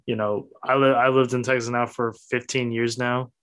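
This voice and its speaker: A man's voice: medium pitch, flat delivery, normal speed, a bit bright. A man with an Upper Midwest accent, ranting bluntly on a casual sports podcast. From Wisconsin, US Midwest. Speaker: podcast co-host.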